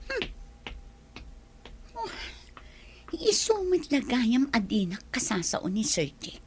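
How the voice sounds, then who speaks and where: A woman's voice: a little high, huge pitch swings, slow, darkish tone, slightly rough, full character voice. A woman playing a defensive, resentful, evasive woman in an audio drama, with an Ilocano Filipino accent. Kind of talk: amateur audio drama acting.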